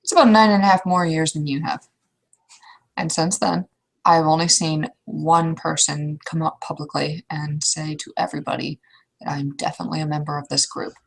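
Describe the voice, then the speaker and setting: A woman's voice: low-pitched, steady tone, normal speed, creaky, poor audio. A woman playing an opinionated, experienced troll mentor in an improvised roleplay, speaking bluntly and informally as she advises. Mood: blunt, cautioning. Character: opinionated, world-wary elder troll mentor, advising a newcomer. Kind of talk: improvised in-character roleplay dialogue.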